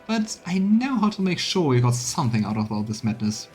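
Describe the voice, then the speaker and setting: A man's voice: mid-range voice, big pitch swings, a little fast, darkish tone, faint music behind, lightly acted. A man voicing an eager, blunt, scheming one-eyed quartermaster in a fantasy game, with folksy, salesmanlike talk. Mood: gleeful scheming.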